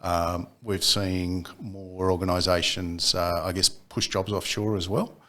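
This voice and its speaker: Man, very low voice, CEO guest, measured, halting with ums. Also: flat delivery, bright, forceful tone. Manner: measured, frequent ums and repeated words. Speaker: company CEO, podcast guest. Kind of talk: casual business chat.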